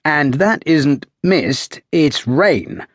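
Man playing clipped, firm young ship's officer, husky edge, dry close sound. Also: a little high, lively intonation, dry recording, pitched above the performer's own voice, heavily acted. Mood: brisk. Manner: brisk, clipped, polite but firm.

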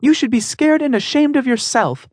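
A man reading aloud and voicing a defiant, sharp-tongued young woman in a raised, high voice. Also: moderate pace, no room sound. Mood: shouting.

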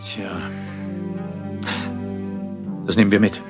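An actor playing a dry, flirtatious, unflappable detective who also narrates in a German audio drama. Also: male voice, fairly low pitch, completely flat delivery, fast talker, vocal fry, music in the background. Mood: matter-of-fact. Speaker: lead actor and first-person narrator.

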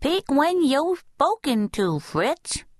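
A woman's voice: high voice, very animated, slow pace, dry recording, clean studio sound, pitched above the performer's own voice, exaggerated voice. A woman voicing a bold young girl in a storybook reading, with a small lisping voice, childish grammar and a self-possessed manner. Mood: cool, pert. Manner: baby-talk grammar, coolly self-possessed. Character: bold little girl, soft-spoken but fiery-tempered.

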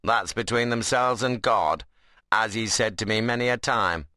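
A man's voice: lowish voice, normal speed, bright, forceful tone, no room sound, studio quality. A man voicing a principled, plain-spoken workman in an audiobook novel, blunt and earnest in a dialect. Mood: blunt, dry.

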